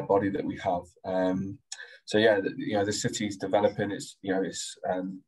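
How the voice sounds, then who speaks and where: Low, husky Midlands man, little pitch movement. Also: a little mellow, poor audio. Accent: English Midlands. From Coventry, England. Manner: hesitant, repeats words. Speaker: university representative on a panel. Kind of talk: informal explanation on a video call. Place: indoor room, video call.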